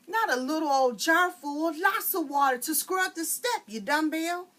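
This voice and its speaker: A woman's voice: high voice, sing-song, moderate pace, fairly bright tone, voice pushed up. A woman voicing a bossy, know-it-all young girl giving orders in a storybook reading. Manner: bossy, commanding.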